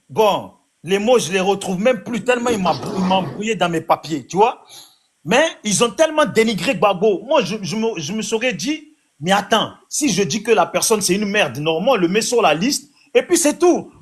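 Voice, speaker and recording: A man with a high voice talks in a heated online debate. He rambles and repeats himself, sounding flustered as he loses his thread. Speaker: debate participant.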